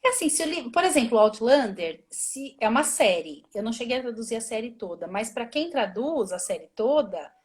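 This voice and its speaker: A woman's voice: fairly high pitch, sing-song, fairly quick, few pauses. A woman translator, a guest on a live video stream, talking casually and thinking aloud with many restarts. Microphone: phone or laptop mic on a video call.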